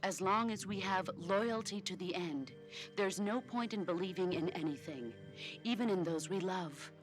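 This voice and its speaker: An actress playing a composed, solemn female war-hero mentor in a video game, measured and authoritative. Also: lowish voice, over music, close to an everyday voice. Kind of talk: video game cutscene acting.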